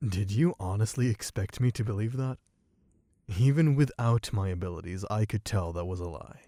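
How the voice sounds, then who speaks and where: A man playing a sadistic, possessive vampire in an ASMR roleplay, speaking in a taunting, condescending, deliberate way. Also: lowish voice, no room sound, studio quality, natural voice. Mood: mocking, probing. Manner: taunting, condescending, slow and deliberate with soft chuckles. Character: sadistic, possessive male vampire toying with human captive.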